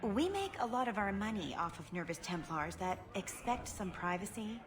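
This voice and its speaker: An actress playing a brassy, worldly brothel keeper in a video game, with a sassy, offhand manner. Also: female voice, mid-range voice, very animated, normal speed, a crowd in the background, close to an everyday voice. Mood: businesslike, dry. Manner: sassy, offhand, calls people honey. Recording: game audio with music behind.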